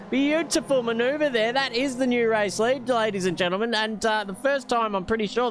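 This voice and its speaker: An Australian man co-commentating a sim race in a rambling, slangy way with chuckles. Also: high-pitched. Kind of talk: laid-back race commentary.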